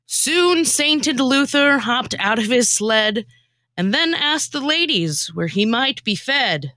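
A woman's voice: high voice, animated, takes it slowly, bright, forceful tone, no room sound. A woman performing scripted comic radio theatre, narrating in a theatrical storytelling manner. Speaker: former co-host and narrator.

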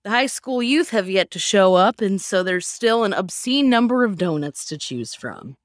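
A woman hosting a podcast in a playful, animated, joking style. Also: mid-range voice, moderate pace, dry recording, clean studio sound. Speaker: podcast host. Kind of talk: casual, joking group chat.

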